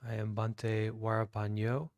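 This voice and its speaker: An American man speaks as a meditation teacher in a smooth, even voice that stays within a narrow range. He is calm and deliberate, leaving long pauses between short phrases, and the sound is dry and close. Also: lowish voice, no echo. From United States. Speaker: Buddhist monk leading guided meditation. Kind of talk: guided meditation talk.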